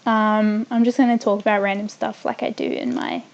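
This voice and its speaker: A young woman from New Zealand with a New Zealand accent slightly softened by Australia, chatting on a casual gaming livestream and rambling off mid-sentence as she builds. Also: medium pitch, level tone, a little fast, gravelly, muffled recording. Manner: hums and makes small random noises while working. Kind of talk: casual livestream chat while building in a game.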